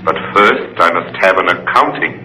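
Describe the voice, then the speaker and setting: A man's voice: deep voice, lively intonation, moderate pace, bright, forceful tone, a little hiss or hum, exaggerated voice. An actor playing an imperious ancient pharaoh speaking from his tomb, formal and commanding, in 1930s radio thriller style. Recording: echoey 1930s radio recording.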